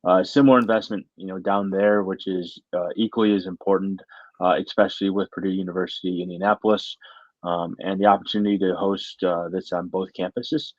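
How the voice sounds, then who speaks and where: A middle-aged American man with a low voice that stays very flat in pitch. He answers as an academic interview guest, earnest and halting, often repeating words as he goes. The room adds a noticeable echo. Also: lo-fi sound. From Michigan, United States. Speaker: university faculty member, interview guest.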